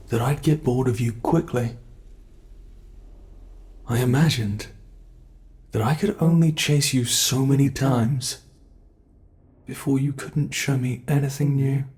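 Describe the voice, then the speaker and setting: A British man voicing a cruel male monster who keeps a human captive, taunting in a slow, mocking way for an ASMR horror roleplay. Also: medium pitch, darkish tone, natural voice.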